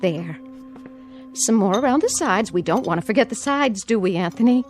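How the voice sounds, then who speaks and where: An actress playing an anxious, doting aunt in a radio drama, sweetly coaxing and nervously repeating herself. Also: female voice, mid-range voice, animated, normal speed, faint music behind, close to an everyday voice. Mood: coaxing, placating. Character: anxious, doting aunt placating a feared nephew.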